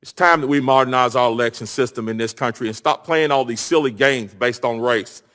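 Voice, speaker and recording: A man delivers formal testimony at a hearing in an emphatic, deliberate manner. His mid-pitched voice carries the long reverb of a large room. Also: a bit bright. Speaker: hearing witness, state official.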